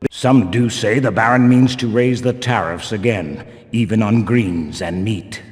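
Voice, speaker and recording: An actor playing a stern, pious medieval temple guard in a video game, speaking in pompous, archaic, theatrical phrases. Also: male voice, on the low side, a little slow, husky. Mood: grave. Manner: archaic pompous speech, declaiming. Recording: long cavernous reverb.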